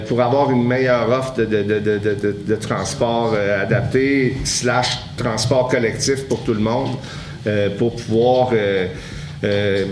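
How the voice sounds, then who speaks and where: Halting Québécois man chairing a meeting. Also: medium pitch, reverberant, slight background noise. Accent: Québécois French. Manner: halting, many fillers and restarts. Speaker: mayor chairing a council meeting. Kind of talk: meeting question period. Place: municipal council chamber.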